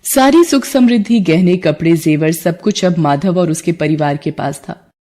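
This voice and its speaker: A woman's voice: lowish voice, moderate pace, mellow. A woman storyteller reading scripted narration for a devotional moral story.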